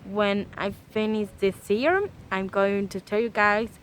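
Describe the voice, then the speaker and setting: A young woman from El Salvador with a Spanish accent, speaking English in a casual, earnest vlog full of pauses and "eh" fillers. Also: medium pitch, a little hiss or hum. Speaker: vlogger.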